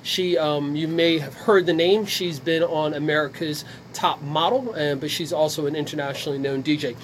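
Radio host guy introing guests, friendly, highish voice, a little room hum. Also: steady tone, moderate pace. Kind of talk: radio talk show hosting.

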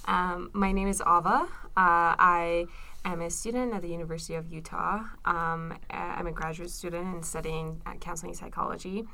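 A woman in her twenties who grew up in Iran and speaks first answers interview questions. Her voice is bright with a creaky edge, and she pauses often with fillers. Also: fairly low pitch, steady tone. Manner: hesitant, many fillers. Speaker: interview guest, graduate student.

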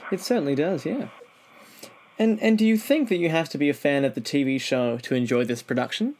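A man's voice: fairly high pitch, a little fast, darkish tone, a little hiss or hum. A man hosting an interview, asking prepared questions in a conversational way. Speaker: interviewer, show host.

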